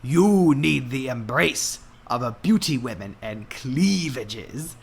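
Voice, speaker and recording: A man voicing a calm kung fu master who instructs in a measured way, in a comic story reading. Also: medium pitch, gravelly, slightly rough, pitched below the performer's own voice, heavily acted. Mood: sagely.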